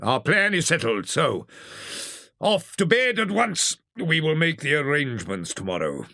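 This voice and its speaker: Storybook badger, played by a narrator: older male, steady, authoritative, measured, dry close recording. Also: mid-range voice, vocal fry, no echo, pitched above the performer's own voice, heavily acted. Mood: commanding.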